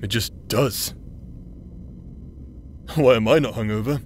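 A man's voice: mid-range voice, slow pace, a little mellow, a little hiss or hum, close to an everyday voice. A man playing a cocky but caring rogue in an audio roleplay, talking in a casual, teasing, easygoing way. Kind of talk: scripted audio roleplay acting.

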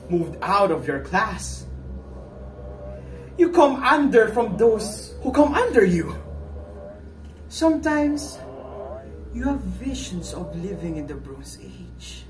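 A man's voice: high voice, sing-song, takes it slowly, pauses often, full character voice. A man playing a cunning, bitter forger in a stage play, declaiming in a mocking way. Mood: scornful. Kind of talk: theatrical one-act stage play acting. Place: furnished indoor stage set, distant camera. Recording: echoey room, distant mic, background noise.

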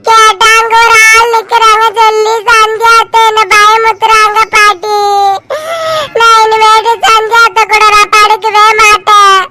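A woman's voice: very high voice, completely flat delivery, a little slow, pushed and bright, full character voice. A woman voicing a frightened little niece in a family story, whining and pleading in a tearful way. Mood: tearful complaint. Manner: pleading, whiny. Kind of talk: dramatised family story dialogue.

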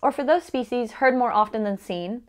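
A young woman presents an instructional guide in a fairly high voice. She reads from a script in a measured way, enunciating carefully. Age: young adult. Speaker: presenter of a beginner's birdwatching guide.

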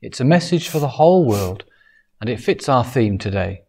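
A man's voice: medium pitch, lively intonation, moderate pace, gravelly, a little mellow. Middle-aged man leading church worship. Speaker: Salvation Army officer leading worship. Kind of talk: introducing a hymn in a church service. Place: church hall, indoors.

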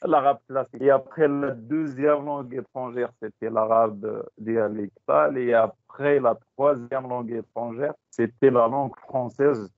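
A man's voice: medium pitch, fairly even intonation, no echo. Moroccan man on a thin call line. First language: Tachelhit. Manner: halting, repeats words, switches into Moroccan Arabic. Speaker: teacher trainer. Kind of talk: online teaching talk. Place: online video call. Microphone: computer mic on a video call. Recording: dry, phone-like call audio.

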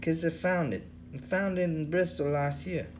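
A man's voice: fairly even intonation, moderate pace, slight background noise, lightly acted, rough recording. A man voicing a weary, self-pitying male drifter with genteel airs. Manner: listless, self-pitying, raised pitch.

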